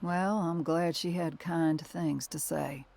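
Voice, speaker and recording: A woman acting a battle-weary, remorseful older sister and militia fighter in a video game cutscene, speaking in a measured, earnest way. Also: deep voice, steady tone, creaky, a little mellow, heavily acted. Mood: guarded. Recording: music under the voice.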